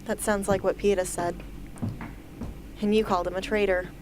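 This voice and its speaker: A woman's voice: medium pitch, level tone, moderate pace, lightly acted. A woman playing an earnest, guarded teenage girl soldier in an amateur radio drama. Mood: challenging. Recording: hobby recording with ambient noise.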